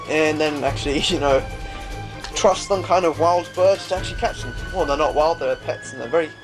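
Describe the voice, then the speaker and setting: A man's voice: fairly high pitch, poor audio. A man giving casual, chatty video game commentary, amused at himself and reading dialogue aloud as he goes. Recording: game music behind. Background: video game music.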